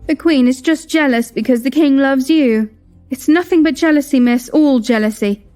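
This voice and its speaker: Woman playing an eager, high-voiced loyal maid. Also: normal speed, mellow, soft background music, close to an everyday voice. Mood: indignant. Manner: eager, emphatic, servile. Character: loyal, gossipy young maid, indignant for her mistress. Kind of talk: melodramatic audio drama acting.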